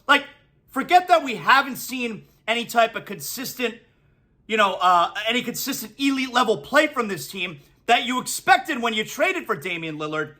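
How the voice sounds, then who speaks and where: Man, 40s, sports talk host, energetic solo monologue, high-pitched, bright tone. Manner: energetic, excitable, reads ad copy and riffs on games.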